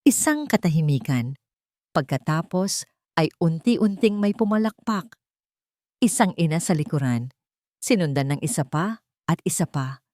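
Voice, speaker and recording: A woman with a fairly low voice narrates a romantic drama. Her delivery is measured and dramatic, set out in short phrases. The recording is dry and close. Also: a little mellow, no echo. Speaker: story narrator.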